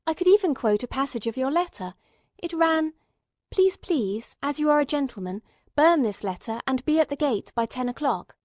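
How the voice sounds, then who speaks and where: Courteous but insistent gentleman investigator, read by a woman: highish voice, formal questioning, dry storybook sound. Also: expressive, moderate pace, dry recording. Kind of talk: dramatic audiobook character reading.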